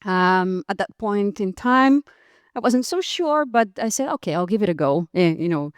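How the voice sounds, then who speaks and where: Clear-voiced woman from Costa Rica. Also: mid-range voice, lively intonation, moderate pace, no room sound, studio quality. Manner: friendly, laughs often, frequent fillers and restarts. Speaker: podcast guest. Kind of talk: interview conversation.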